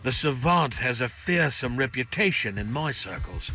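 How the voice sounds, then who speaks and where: An actor playing a wary male associate in a video game, giving forceful, cautioning counsel. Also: medium pitch, lively intonation, moderate pace, soft background music. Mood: wary, warning. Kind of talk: video game cutscene acting.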